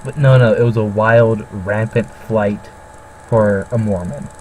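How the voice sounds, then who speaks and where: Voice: medium pitch, fairly even intonation, a little slow, a little mellow, a little hiss or hum, low-quality recording. A co-host joking casually in a teasing, playful manner. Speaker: co-host reading character lines.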